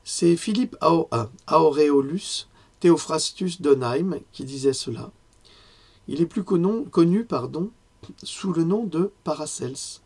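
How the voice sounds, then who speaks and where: Hoarse man giving a talk. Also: a little high, darkish tone. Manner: conversational, with frequent fillers and self-corrections. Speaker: lecturer. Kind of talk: health lecture with personal asides.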